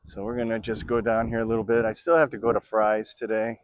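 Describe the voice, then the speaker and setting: Rambling sixties man vlogging from his bike, low-pitched, dry close sound. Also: little pitch movement, fast talker, no echo. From Florida, USA. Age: 60s. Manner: rambling, chatty, with many fillers. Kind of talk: casual vlog narration while riding an e-bike. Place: outdoors on a bike trail.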